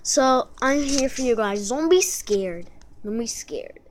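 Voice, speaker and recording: A woman's voice: on the high side, lively intonation, slow, a little hiss or hum. A woman hosting an amateur video with casual, unscripted chat in a childlike, repetitive manner. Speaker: amateur video host reacting to a video.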